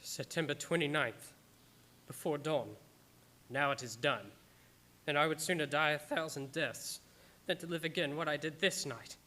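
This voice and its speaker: An actor playing an earnest, haunted doctor, narrating his grim diary in a formal, measured, theatrical way for a radio play. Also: a little high, pushed and bright. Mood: troubled.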